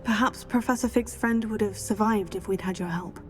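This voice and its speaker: A woman's voice: mid-range voice, normal speed, soft background music, lightly acted. A woman playing a polite, confident, inquisitive teenage student witch in a video game. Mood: composed. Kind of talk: scripted video game dialogue.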